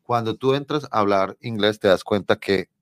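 A man's voice: on the low side, moderate pace, no echo, clean studio sound. A Colombian man who teaches English, explaining things in a casual, teacherly way during a podcast chat.

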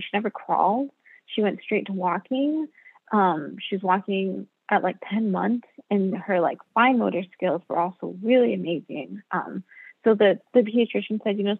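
A woman's voice: mid-range voice, gravelly, breathy edge, dry recording. A woman whose first language is Spanish, a guest in a casual interview who rambles and thinks aloud. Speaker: guest, mother. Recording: thin phone-like line.

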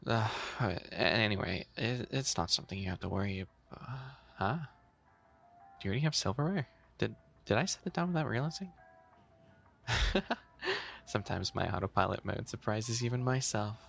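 A man playing a flustered, bookish animal-boy maid cafe manager in boyfriend ASMR roleplay, speaking softly and hesitantly with a teasing sweetness. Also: lowish voice, expressive, moderate pace, creaky, soft background music, exaggerated voice. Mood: weary, sheepish.

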